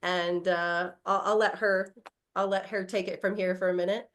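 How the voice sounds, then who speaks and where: A woman's voice: medium pitch, little pitch movement, normal speed, a bit bright. A woman telling a heartfelt personal story over a video call, rambling, with many fillers and restarts. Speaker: guest singer.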